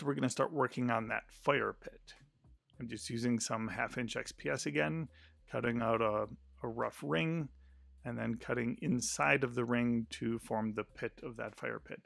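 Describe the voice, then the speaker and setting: Guy doing a craft how-to voiceover, gravelly, lots of uhs, super dry sound. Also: mid-range voice, a bit bright, no room sound. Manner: plain explanatory voice-over with frequent "uh" fillers. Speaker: crafting tutorial host. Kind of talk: step-by-step craft narration.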